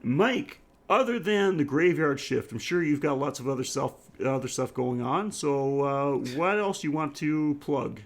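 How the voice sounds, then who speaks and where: A man's voice: fairly high pitch, animated, measured pace, few pauses. A man co-hosting a podcast, chatting casually through the show's sign-off and listener plugs. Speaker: podcast co-host. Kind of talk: casual podcast outro chat.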